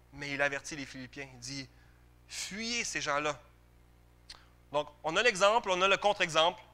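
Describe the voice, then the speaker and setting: A man delivers a sermon in a Quebec French accent. His voice sits a little high and has a very bright, forceful edge. He talks to the congregation conversationally, stressing key phrases through repetition and rhetorical questions. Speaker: preacher.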